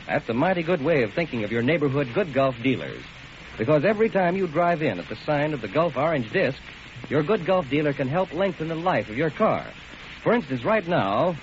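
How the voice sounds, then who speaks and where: A man as commercial announcer reading a scripted advertisement in 1940s radio style. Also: a little high. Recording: dull old radio broadcast, faint noise.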